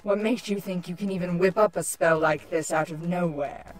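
A woman plays an imperious shapeshifter queen from a cartoon. Her voice sits a little low and she scolds with irritable sarcasm. Music is clearly heard behind her. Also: moderate pace, natural voice. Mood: sardonic, scolding. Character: haughty, irritable adult changeling queen villain. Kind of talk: animated-style fan audio drama.

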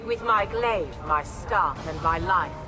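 An actress playing a loyal, resolute female bodyguard in a video game, solemn and deliberate. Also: fairly low pitch, expressive. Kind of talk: video game fighter dialogue. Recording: distant game audio under loud music.

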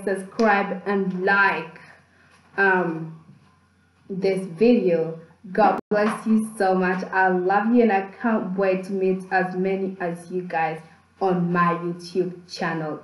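A woman's voice: medium pitch, a little hiss or hum. A young woman from the Democratic Republic of the Congo, a native Kibembe speaker, chatting upbeat on a webcam vlog in a small plain room, teaching words of her language. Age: young adult. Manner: chatty and upbeat, with fillers and restarts. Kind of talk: casual vlog lesson. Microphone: webcam in front of her. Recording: echoey room.

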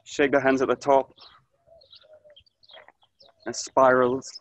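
A man in his thirties teaching an online aikido class, giving calm, short coaching cues outdoors in a grassy orchard, recorded on a camera mic as he moves nearer and farther. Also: mid-range voice, slow, lo-fi sound. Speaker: aikido instructor. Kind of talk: live online class instruction. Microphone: camera mic, distance varies as he moves.

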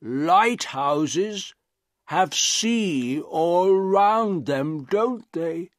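A man voicing a gentle, sad, humble deformed man in an audiobook, with very slow, halting, laboured speech. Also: high voice, lively intonation, no room sound, studio quality, pitched above the performer's own voice, full character voice. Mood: wistful. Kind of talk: audiobook character dialogue.

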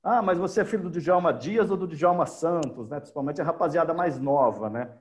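A man's voice: on the high side, brisk, darkish tone. A man with a Brazilian Portuguese accent co-hosting over a video call, asking questions casually and often checking in with little tag questions. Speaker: co-host. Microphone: video call webcam.

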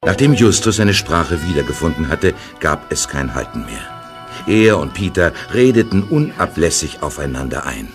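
A man narrating an audio drama in calm, measured storytelling. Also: rumbling low, music in the background.

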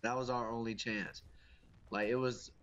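A young man talks over his gameplay with a stuffed-up, sniffly voice from a cold. His delivery is casual and full of swearing, with an exasperated, ranting edge. His pitch sits right in the middle for a man. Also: fairly even intonation, moderate pace, a bit bright, lo-fi sound, slight background noise. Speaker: gaming YouTuber. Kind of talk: gameplay commentary.

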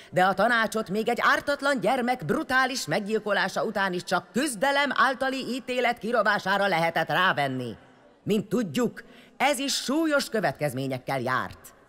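Vengeful, swooping huntress. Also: female voice, medium pitch, big pitch swings, fairly bright tone. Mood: declamatory, accusing. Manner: sarcastic, threatening. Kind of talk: audio drama acting.

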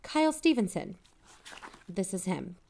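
A woman's voice: medium pitch, very animated, a little slow, vocal fry, darkish tone, exaggerated voice. A woman playing an inquisitive, matter-of-fact podcast investigator in a mock-documentary audio drama.

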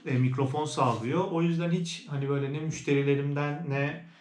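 A man's voice: on the high side, fairly even intonation, brisk, a little mellow. A man giving a casual, conversational product review as a vlogger, explaining things as he goes. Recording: roomy indoor echo.

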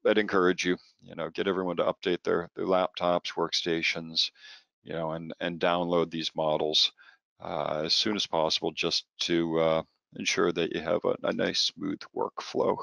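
A man presenting software training as a product trainer, informal and halting, often repeating words as he explains. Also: low voice, little pitch movement, gravelly, dry recording.

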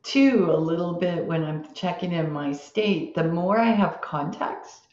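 An older woman hosting a podcast conversation in a measured way, pausing often. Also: fairly low pitch, lively intonation, a little mellow. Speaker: podcast host. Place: home room with bookshelves, video call. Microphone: computer mic on a video call. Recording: dull, echoey video-call sound.

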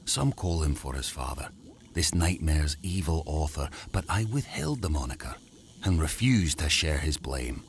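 An actor playing a proud, tormented mad scientist, father of a monster, in a theatrical, deliberate horror audio drama monologue. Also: rumbling low, expressive, a little hiss or hum. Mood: grave, proud. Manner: grandiose, theatrical, deliberate delivery.

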